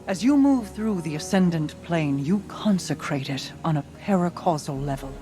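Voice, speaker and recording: A woman's voice: on the low side, expressive, mellow, faint music behind, natural voice. Regal, solemn mystical queen played by a woman. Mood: solemn, reverent. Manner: stately, measured, portentous. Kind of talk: video game cutscene monologue.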